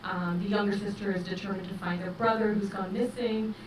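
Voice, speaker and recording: A woman's voice: medium pitch, fairly even intonation. Woman director in a roomy, distant recording. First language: English. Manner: measured, thoughtful, many fillers. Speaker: film director. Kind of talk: festival interview. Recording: roomy, distant mic with noise.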